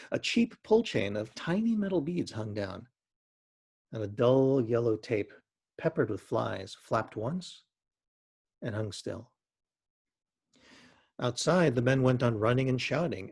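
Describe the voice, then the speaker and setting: Male narrator for literary fiction, mid-pitched and slightly rough-edged, expressive, lyrical phrasing.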